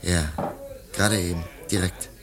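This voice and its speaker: An actor in a German radio play voicing a disillusioned, wistful male artist who talks in a theatrical, halting way. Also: sits low, fairly even intonation, a crowd in the background. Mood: nostalgic, reverent.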